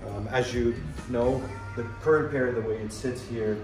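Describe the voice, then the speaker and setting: A man's voice: medium pitch, fairly even intonation, normal speed. A man in his fifties giving an unscripted, conversational product presentation, explaining as he goes. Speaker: company representative presenting a product. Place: hotel conference room at a trade show. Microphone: camera mic a few steps away. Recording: roomy sound with background music.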